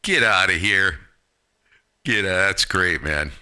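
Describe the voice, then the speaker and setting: American guy doing ham radio stream, real deep but very bright punchy voice, jokey and casual. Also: takes it slowly, clear, resonant tone. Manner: breezy, salty, joking slang. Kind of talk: casual amateur radio chat.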